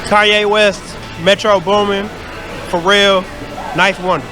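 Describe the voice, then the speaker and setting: Man, from St. Louis, producer, slightly high voice, relaxed, reflective, music in background. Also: a little slow. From St. Louis, USA. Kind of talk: interview answers. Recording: music playing behind.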